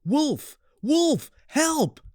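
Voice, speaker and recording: A man voicing a mischievous young shepherd boy in a storybook, shouting urgently for help. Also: very high voice, very animated, very slow, dry recording, clean studio sound, voice pushed up, exaggerated voice. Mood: mock alarm. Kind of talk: storybook narration character voice.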